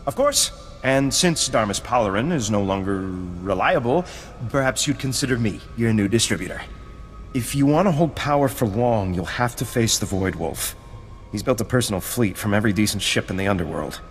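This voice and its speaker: A man's voice: fairly low pitch, animated, normal speed, faint music behind, natural voice. A man playing a groveling gangster informant. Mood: fawning. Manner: hesitant, fawning, stammering. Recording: game audio with long reverb, faint noise.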